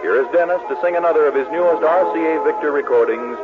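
A man's voice: fairly high pitch, fast talker, narrow, tinny audio, over loud music. An American man announcing from a script in a polished, emphatic 1940s radio style on an old broadcast recording. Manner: polished, emphatic sales delivery.

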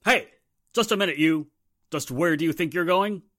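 A man's voice: on the high side, normal speed, a bit bright, dry recording, clean studio sound, lightly acted. A man acting a tough, bossy police inspector in a 1940s-style radio drama, curt and commanding. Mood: stern.

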